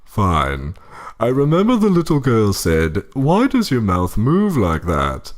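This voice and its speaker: A man's voice: fairly low pitch, huge pitch swings, takes it slowly, full character voice. A man playing a pompous, fussy old husband reading a horror story aloud for comedy. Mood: peevish. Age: older.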